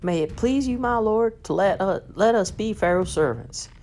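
Man putting on high pleading starving Egyptians. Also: lively intonation, moderate pace, a little mellow. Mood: grateful. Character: desperate starving crowd of Egyptians.

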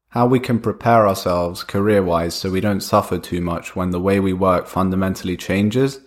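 A young man with a cold hosts a solo podcast in a lowish voice. He talks loosely and conversationally, thinking aloud with small restarts and fillers as he goes. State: has a cold. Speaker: podcast host. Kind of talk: casual solo podcast monologue.

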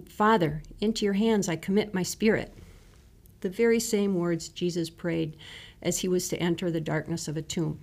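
A woman reading scripture and a written reflection in a measured, solemn manner. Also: on the low side. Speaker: devotional reader.

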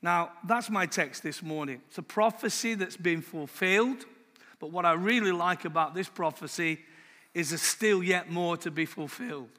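A man preaching a sermon in a loud voice, slowing down to read scripture with emphasis. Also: high voice, in a large hall.

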